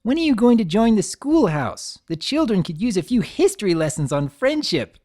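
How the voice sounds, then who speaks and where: A woman's voice: medium pitch, big pitch swings, normal speed, dark tone, pitched above the performer's own voice. A woman voicing a cocky young pegasus mare who teases and mocks her friend. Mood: teasing. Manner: teasing, mocking.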